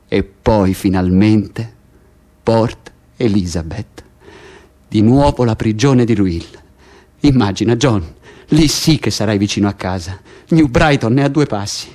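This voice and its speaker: A man's voice: fairly low pitch, lively intonation, unhurried, a little mellow. A man acting in Italian radio theatre as a prisoner who insistently and bitterly taunts his cellmate, piling up detail step by step. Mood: insistent, building. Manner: insistent, building lists step by step. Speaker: stage actor in a radio play.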